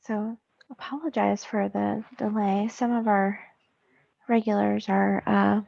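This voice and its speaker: Female meeting host with a gravelly edge, hesitant delivery, muffled dry call sound. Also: medium pitch, steady tone, darkish tone, dry recording. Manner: halting, frequent ums. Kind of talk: webinar introduction. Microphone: video call. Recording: dull dry call audio.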